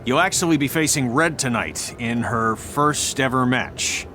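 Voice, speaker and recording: A man's voice: mid-range voice, unhurried, sharp tone, slight background noise. A man playing a dry, teasing wrestling trainer in a video game cutscene. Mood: matter-of-fact.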